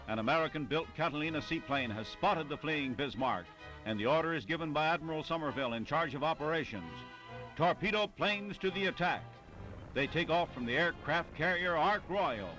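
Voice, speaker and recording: A man delivers 1940s newsreel narration in a fairly high voice. His phrasing is clipped and declamatory, set over prominent music on a vintage soundtrack. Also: lively intonation, normal speed, sharp tone, poor audio.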